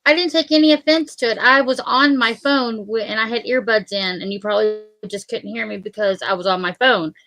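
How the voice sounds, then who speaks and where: A woman's voice: a little high, fairly quick, hardly any pauses, fairly bright tone. A woman from West Texas, a guest in a casual chat, speaking plainly through her computer mic.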